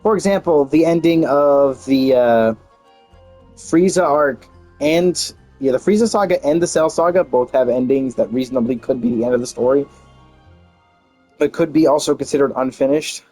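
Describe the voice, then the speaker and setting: A man gives casual game commentary in a mid-pitched voice. He rambles informally and wanders into tangents, with faint music behind him. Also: normal speed, darkish tone. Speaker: game streamer.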